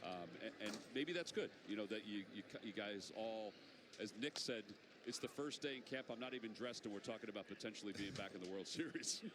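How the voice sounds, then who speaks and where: A man's voice: on the low side, a little fast. An American man calling a baseball game as play-by-play announcer and chatting with guests. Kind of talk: live baseball commentary and in-game interview. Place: outdoor baseball stadium. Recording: outdoor ballpark noise. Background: ballpark ambience.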